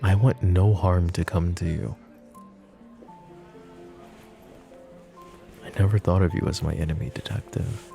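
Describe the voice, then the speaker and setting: A man voicing a possessive, flirtatious mafia boss in an ASMR roleplay drama, with a low, soft, teasing drawl. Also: steady tone, fairly quick, creaky, faint music behind. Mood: coaxing. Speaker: voice actor.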